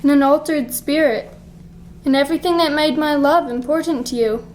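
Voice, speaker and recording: Actress doing sad earnest young fiancee, high voice, formal period drama, faint noise. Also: female voice, moderate pace, darkish tone, lightly acted. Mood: sad resolve. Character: sorrowful, principled young fiancée releasing her betrothed. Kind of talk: radio play acting.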